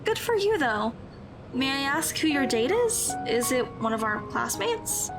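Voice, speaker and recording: A woman plays an earnest, socially awkward teenage schoolgirl in an audio drama. Her voice is fairly high, and she talks hesitantly in slightly formal phrases. Clear music sits behind her. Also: very animated, a little slow, heavily acted, low-quality recording. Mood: awkward, hurt.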